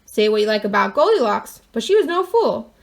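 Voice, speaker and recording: High-voiced woman reading a children's story. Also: sing-song, a little fast, creaky. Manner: wry, mock-innocent asides. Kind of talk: expressive children's picture-book read-aloud.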